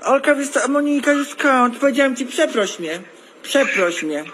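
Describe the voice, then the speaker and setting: Very high-pitched man, insistent and threatening. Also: expressive, moderate pace. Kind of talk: confrontational phone argument. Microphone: handheld phone. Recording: noisy phone video recording.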